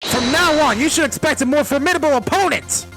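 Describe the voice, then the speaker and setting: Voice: sits high, fairly quick, faint music behind. A solo performer voicing a cocky, earnest male defense lawyer from a video game, declaiming emphatically. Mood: confident. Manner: emphatic, declamatory, shouts accusations. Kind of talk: fan dub courtroom comedy, dramatic video game acting.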